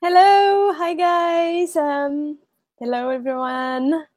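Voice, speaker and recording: A woman's voice: high-pitched, little pitch movement, slow pace, a little mellow, no room sound, studio quality. A woman product manager answering interview questions in a chatty, thoughtful way.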